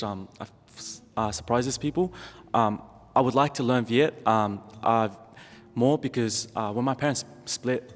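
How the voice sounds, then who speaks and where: A man whose first language is Vietnamese talks hesitantly as a panel guest, pausing on "um" and "uh". His mid-range voice carries a long reverb. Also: mid-range voice. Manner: hesitant, halting with "um" and "uh". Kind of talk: conversational panel discussion.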